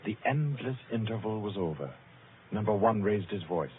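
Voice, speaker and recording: A man's voice: fairly low pitch, expressive, normal speed, slight background noise, natural voice. A man narrating a suspense tale for an old radio drama in a solemn, scripted storytelling manner. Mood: suspenseful. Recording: muffled, tinny old radio recording. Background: music.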